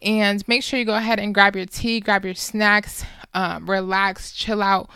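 Young woman podcaster with a clear, smooth voice. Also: mid-range voice, flat delivery, a little slow, sharp tone, no room sound, clean studio sound. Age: early 20s. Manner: informal, chatty, slangy. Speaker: podcast host. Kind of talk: casual solo podcast introduction.

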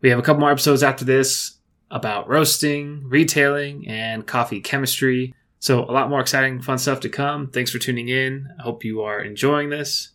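Male podcast host, mid-pitched voice, upbeat, informal, enthusiastic interviewer. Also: fairly even intonation, normal speed, a bit bright.

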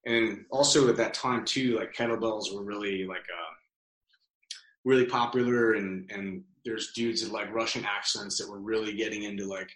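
A man's voice: fairly low pitch, fairly even intonation, moderate pace, fairly bright tone. A man guesting on a podcast interview, talking casually with plenty of fillers and swearing. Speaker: guest, business founder. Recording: roomy, echoey sound.

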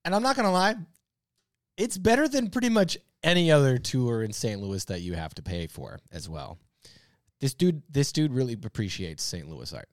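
A man's voice: medium pitch, big pitch swings, moderate pace, dry recording, studio quality. A man co-hosting a casual panel show, bantering in an emphatic, playfully exaggerated way. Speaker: podcast panelist and co-host.

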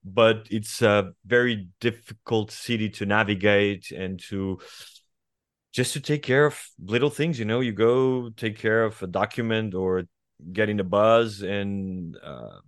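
A man in his forties from Portugal with a Portuguese accent, speaking as a guest writer in an interview, thoughtful and hesitant, often pausing and restarting. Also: lowish voice, no room sound, clean studio sound. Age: 40s. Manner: reflective, hesitant with many fillers and restarts. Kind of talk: conversational interview.

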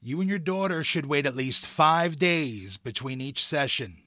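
A man playing a cautious doctor in an audio drama, formal, measured and cautioning. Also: fairly high pitch, lively intonation, sharp tone. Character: cautious, formal male doctor urging restraint.

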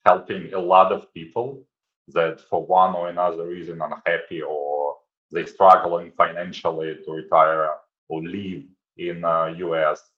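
A middle-aged man with a Ukrainian accent, earnestly answering interview questions with frequent pauses and hesitations. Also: low-pitched, flat delivery, phone-quality sound. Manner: earnest, many "uh" pauses, non-native grammar. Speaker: guest, website founder.

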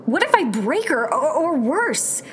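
A woman's voice: high voice, big pitch swings, measured pace, a little hiss or hum. A woman playing a hard-headed, pragmatic rebel fighter in a sci-fi audio drama, with a firm way of talking. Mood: defensive, heated. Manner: firm, argumentative, pressing with questions. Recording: clear music bed behind.

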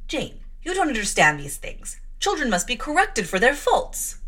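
A woman's voice: on the high side, wildly swooping pitch, moderate pace, bright, forceful tone, voice pushed up, heavily acted. Female reader voicing a stern, condescending aunt, reproving. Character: stern cold aunt, middle-aged woman, patronizing.

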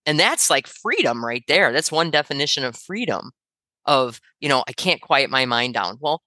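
A middle-aged woman talks thoughtfully on a podcast, encouraging and unhurried, with plenty of "you know"s. Her voice is bright, and the recording is close and dry. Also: very deep voice, very animated, dry recording. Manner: warm, encouraging, thoughtful, many fillers. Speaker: podcast co-host, yoga teacher. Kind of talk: casual podcast chat.

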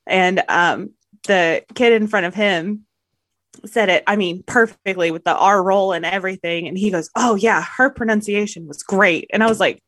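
A woman's voice: mid-range voice, moderate pace, fairly bright tone, no echo. A woman co-hosting a podcast, telling stories in a chatty, self-deprecating way. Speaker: podcast co-host. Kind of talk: casual chat.

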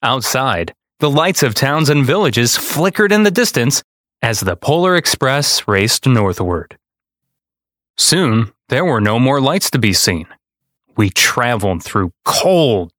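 A man, a podcast host, speaking in an expressive storybook narration style. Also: mid-range voice, dry recording, clean studio sound. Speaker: podcast host reading a children's book aloud.

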